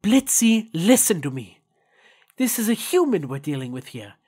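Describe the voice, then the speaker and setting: An actor voicing an elegant, stern owl demon prince in an audio drama, with formal, deliberate, courtly speech. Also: medium pitch, very animated, darkish tone, husky. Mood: stern, worried. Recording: music under the voice.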